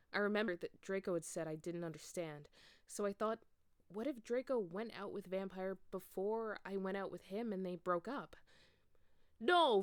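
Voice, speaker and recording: A woman's voice: mid-range voice, clear, resonant tone, no room sound, studio quality. A woman reading fan fiction aloud in a theatrical amateur storytelling style. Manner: theatrical, acts out dialogue.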